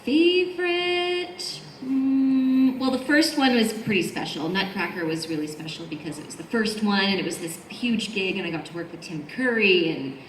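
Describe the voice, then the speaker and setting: A woman's voice: medium pitch, moderate pace, hardly any pauses. Casual woman in an echoey panel room. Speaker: voice actor on a panel. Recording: echoey room, faint background noise.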